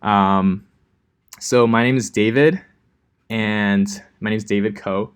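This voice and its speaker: A young man from Los Angeles teaching a coding class in a relaxed, chatty way, with many ums and likes. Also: fairly low pitch, slow pace, clean tone. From Los Angeles, California. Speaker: coding instructor. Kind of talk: casual classroom lecture.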